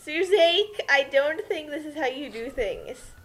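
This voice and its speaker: A woman's voice: sits high, expressive, unhurried, fairly bright tone. A sarcastic woman giving casual comic commentary as a co-host.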